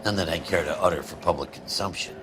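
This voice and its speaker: An actor playing a brash, sarcastic American police detective with a blunt, gruff manner in a video game. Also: male voice, low-pitched, fast, background noise, close to an everyday voice. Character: brash, cynical, foul-mouthed adult male detective partner. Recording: music loud behind, gunfire and vehicles.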